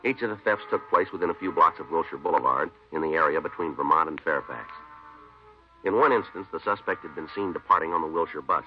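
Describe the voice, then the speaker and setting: An actor playing a laconic, deadpan American police detective with clipped speech in an old-time radio drama. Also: male voice, extremely low pitch, fast, faint music behind. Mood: matter-of-fact. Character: laconic, dry, unflappable male police detective. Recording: dull old radio recording.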